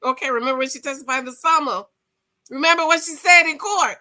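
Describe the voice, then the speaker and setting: Sassy, bright-voiced woman in her forties. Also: high voice, quick pace. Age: 40s. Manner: sassy, chatty, addresses viewers as girl and y'all. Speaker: commentary vlogger. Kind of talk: casual gossip commentary.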